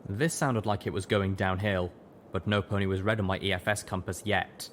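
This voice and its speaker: A man's voice: deep voice, fairly even intonation, normal speed, slight background noise. A man reading scripted first-person story narration as an audiobook narrator. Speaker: audiobook reader, show narrator.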